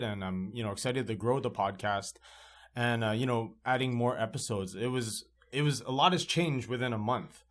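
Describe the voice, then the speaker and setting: Thirties guy hosting a podcast, upbeat and chatty, lots of restarts, recording in a library studio. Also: fairly low pitch. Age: 30s. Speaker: podcast host. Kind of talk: casual podcast chat.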